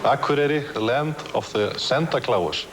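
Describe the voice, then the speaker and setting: A man from Iceland with an Icelandic accent, a strongman competitor speaking haltingly with pauses and fillers in a profile interview, his first language being Icelandic. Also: mid-range voice, lively intonation.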